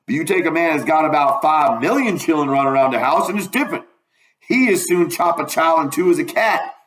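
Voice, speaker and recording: A man's voice: fairly high pitch, lively intonation, a little fast, fairly bright tone, slight background noise, pitched above the performer's own voice. A man voicing a stubborn, uneducated runaway in a storybook reading, with a folksy Southern US dialect and an emphatic, argumentative manner. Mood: insistent. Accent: Southern US dialect, put on. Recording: roomy, echoing room.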